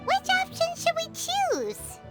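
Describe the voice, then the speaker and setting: Super high squeaky little sidekick for a game, slow n bouncy, loud music. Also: female voice, huge pitch swings, exaggerated voice. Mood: curious, uneasy. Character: small, childlike, chirpy companion, plain-spoken and excitable. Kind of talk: video game voice acting.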